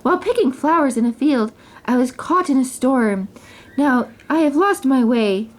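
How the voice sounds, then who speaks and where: A woman's voice: high voice, animated, moderate pace. A woman voicing a polite, earnest young fairy-tale princess who speaks in an apologetic way. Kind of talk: children's storybook character reading.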